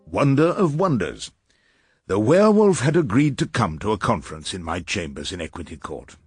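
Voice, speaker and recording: A man playing a wry, irascible old barrister in a radio drama, with an English accent and an unhurried, ironic delivery. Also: fairly low pitch, sing-song, darkish tone, slightly rough, no echo, faint music behind. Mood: wry narration. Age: older. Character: irascible, wry old male barrister narrating his cases. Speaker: barrister and narrator. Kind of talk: radio drama dialogue and first-person narration.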